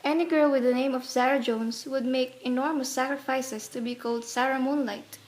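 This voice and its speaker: An actress playing a gentle, youthful-looking married woman in a radio play, playful yet anxious. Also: sits high, fairly even intonation, normal speed, close to an everyday voice. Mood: soft, teasing.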